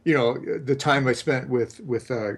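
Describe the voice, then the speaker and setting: An older man co-hosting a podcast, his voice slightly rough, telling folksy stories with fillers. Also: mid-range voice, measured pace. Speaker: copywriter co-host. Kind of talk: casual podcast chat.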